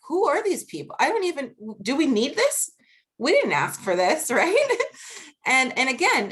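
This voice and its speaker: A woman with a Boston accent speaking as a guest researcher on a video-call panel, earnest and measured, laughing easily during questions. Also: fairly high pitch, a bit bright. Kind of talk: academic panel talk and Q&A.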